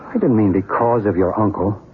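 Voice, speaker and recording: An actor playing a calm, earnest male UFO believer in a radio drama, speaking in a measured, probing way. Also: lowish voice, lightly acted. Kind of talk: radio drama acting with first-person narration. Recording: noisy narrow-band vintage radio sound.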